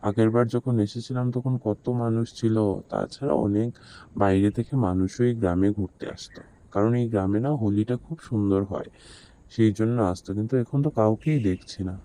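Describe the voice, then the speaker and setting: A man as a dark, low-voiced young traveler. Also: steady tone, moderate pace, lightly acted. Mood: puzzled. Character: young city man visiting an eerie village. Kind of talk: horror cartoon story dubbing.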